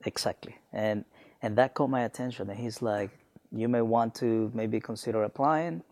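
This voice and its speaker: Reflective man from Honduras, gravelly. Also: lowish voice, moderate pace, a little mellow, no echo, studio quality. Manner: reflective, many fillers and restarts. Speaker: guest, business alumnus. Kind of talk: conversational interview.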